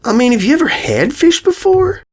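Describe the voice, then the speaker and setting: High, folksy young country filly pony for an animal story, performed by a male narrator, earnest drawl. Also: very animated, normal speed. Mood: puzzled. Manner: folksy drawl, says ain't.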